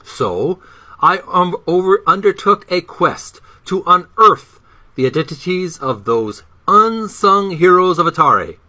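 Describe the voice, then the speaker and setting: A man reading a book introduction aloud as narration, with deliberate, emphatic phrasing. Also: high-pitched, expressive, bright, forceful tone.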